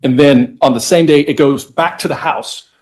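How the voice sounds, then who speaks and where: A man speaking as a lawyer in court, methodically walking a judge through legal history in an explanatory, slightly halting way. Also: a little high, a little mellow.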